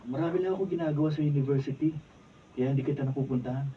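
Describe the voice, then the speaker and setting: Man acting an earnest, higher-voiced young Filipino student. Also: racing, lightly acted. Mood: apologetic, pleading. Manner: pleading, sentimental. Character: earnest, lovesick young male scholarship student. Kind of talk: Filipino radio drama acting. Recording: muffled, distant mic, noisy room.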